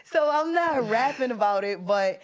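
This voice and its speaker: A woman's voice: on the high side, animated, normal speed. A woman in her late twenties, a rapper guest in an interview, talking casually in street slang and often checking that she is understood. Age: 20s. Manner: laid-back street slang, keeps asking "you get what I'm trying to say".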